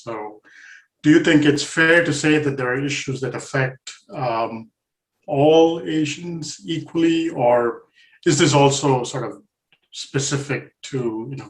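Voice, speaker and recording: A man from India, an editor interviewing a guest, asking polite questions with hesitant pauses. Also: mid-range voice, roomy sound, poor audio.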